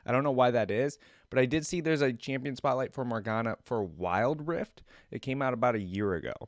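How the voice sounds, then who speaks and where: A man's voice: mid-range voice, fairly quick, no echo, studio quality. A man hosting a reaction video, commenting casually and off the cuff with short, admiring, informal remarks.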